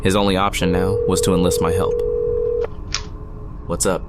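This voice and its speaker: A man playing a wry gamer friend who narrates an eerie audio drama in a measured, suspenseful way. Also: low-pitched, level tone, loud music behind the voice, lightly acted. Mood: playful. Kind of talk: dramatic first-person storytelling.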